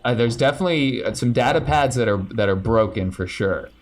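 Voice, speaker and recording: A man's voice: mid-range voice, brisk. A man narrating and explaining rolls as game master of a tabletop game. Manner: relaxed, explaining rules and scenes.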